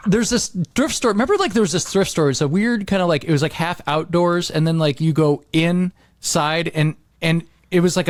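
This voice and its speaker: A man chatting casually as a podcast co-host, telling stories in a rambling, halting way with many repeats. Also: high voice, no room sound, clean studio sound. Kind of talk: casual chat, rambling anecdotes.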